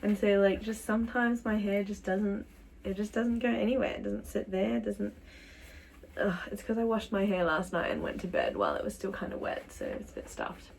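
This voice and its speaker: A woman's voice: mid-range voice, big pitch swings, brisk, creaky, darkish tone, a little hiss or hum, poor audio. A young woman talking casually to her camera as a travel vlogger, rambling with lots of fillers. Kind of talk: casual vlog talk to camera. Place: travel spots and a hotel pod.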